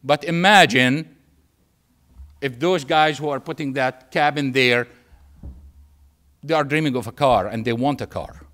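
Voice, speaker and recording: A man from Syria with a Syrian Arabic accent and Arabic as his first language, giving a public lecture in an emphatic way with repetition and pauses for effect. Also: mid-range voice, fairly bright tone. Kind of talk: public lecture with anecdotes and humour.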